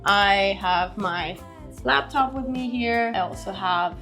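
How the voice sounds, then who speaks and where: A young woman with a slightly hoarse, raspy voice after being sick, chatting casually and upbeat to camera from home, with many fillers. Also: mid-range voice, takes it slowly, sharp tone, soft background music. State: slightly hoarse from recent illness. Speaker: personal finance vlogger. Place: at home, seated with laptop and tea.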